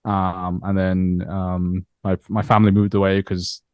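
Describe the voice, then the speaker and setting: A man from Myanmar in his thirties with a Scottish accent, talking through his life story as an interview guest, relaxed and reflective with many repeated words. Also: low voice, measured pace, clean tone, dry recording, lo-fi sound. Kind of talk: casual interview chat.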